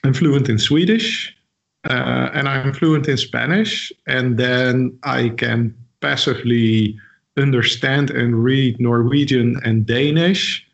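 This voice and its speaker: A man's voice: mid-range voice, takes it slowly, gravelly. A man whose first language is Dutch, speaking English as an interview guest in a casual conversation, hesitant with many fillers and restarts. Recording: dull lo-fi audio.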